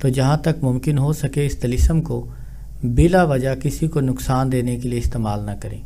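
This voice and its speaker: Man, soft-edged warm tone, measured delivery, formal religious instruction, slight background noise. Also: medium pitch. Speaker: spiritual healer giving instructions.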